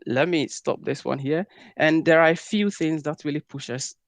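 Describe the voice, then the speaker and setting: Young man with a gravelly voice. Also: on the high side, moderate pace, dry recording. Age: young adult. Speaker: panel presenter. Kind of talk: conference presentation.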